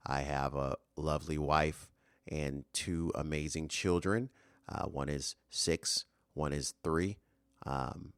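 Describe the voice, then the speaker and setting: A man's voice: very deep voice, clear, resonant tone, dry recording, studio quality. A man in his thirties hosting a solo motivational podcast, earnest and rambling with fillers and restarts. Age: 30s. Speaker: podcast host.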